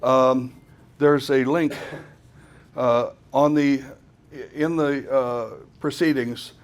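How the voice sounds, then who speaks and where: A man presenting an informal technical talk at a conference, speaking haltingly and often repeating words as he goes. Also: medium pitch, fairly even intonation.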